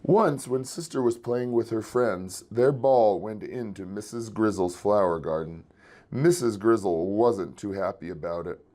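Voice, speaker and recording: A man reading a children's story aloud in an expressive storytelling style. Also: lowish voice, measured pace. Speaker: children's book reader.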